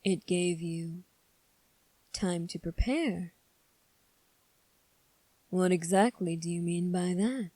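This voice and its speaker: A woman voicing a composed, coolly defiant young fantasy prince in an ASMR roleplay, speaking formally and deliberately with long pauses. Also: lowish voice, warm tone, dry recording. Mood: wary, questioning.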